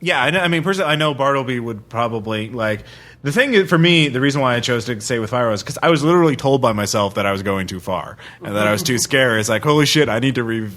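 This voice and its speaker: A man's voice: medium pitch, expressive, quick pace, a bit bright. A man co-hosting a podcast, talking casually and informally as a player in a tabletop game.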